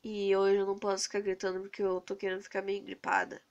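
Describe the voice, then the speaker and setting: A young Brazilian woman with a cold, hoarse and stuffy-nosed, venting earnestly into her phone camera in a rambling casual vlog. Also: medium pitch, monotone, fast talker, darkish tone, dull audio. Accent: Brazilian Portuguese. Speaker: vlogger. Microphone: phone camera held close to the face.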